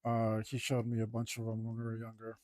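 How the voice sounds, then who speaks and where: A man talking casually to himself as he works on a digital drawing, mumbling in a relaxed way. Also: fairly low pitch, a little fast, no echo. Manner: mumbling to himself, laid-back. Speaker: digital artist. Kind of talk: casual talk while drawing.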